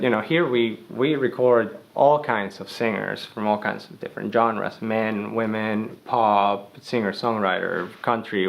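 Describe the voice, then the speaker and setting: A young man, a studio engineer with a deep bass-heavy voice, explaining gear in a loose, conversational way with many fillers. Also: creaky. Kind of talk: gear tutorial. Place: recording studio.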